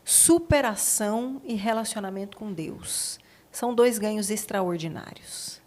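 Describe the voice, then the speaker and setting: A woman's voice: medium pitch, lively intonation, a little slow, darkish tone. A woman with a Brazilian accent, hosting a podcast interview in a conversational style. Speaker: podcast host.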